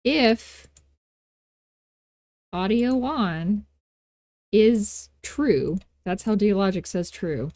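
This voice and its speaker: A woman records a casual screen tutorial as its host. Her voice sits at a middling pitch, captured very dry with little room sound. She talks informally, with a playful, self-deprecating manner. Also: lively intonation, very slow, pauses often, a little mellow, no echo.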